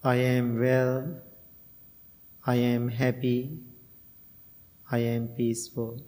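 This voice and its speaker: A middle-aged man from Sri Lanka, a Buddhist monk guiding a loving-kindness meditation and dharma talk, speaking gently with many pauses and fillers. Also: mid-range voice, completely flat delivery, big-room sound.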